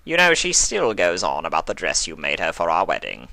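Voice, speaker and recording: Man playing a stately, bright-voiced gentleman unicorn. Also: low voice, huge pitch swings, fairly quick, creaky, no echo, heavily acted. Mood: jovial, generous. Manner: pompous, formal, courteous. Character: stately, wealthy gentleman unicorn stallion, courteous and commanding.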